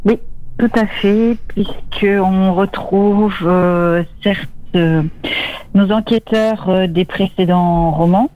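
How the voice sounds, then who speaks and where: A woman's voice: medium pitch, fairly even intonation, slow pace. A woman from the Dordogne speaking as a guest author in a phone interview, hesitant with many fillers and restarts. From Dordogne, France. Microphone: telephone. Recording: muffled phone line.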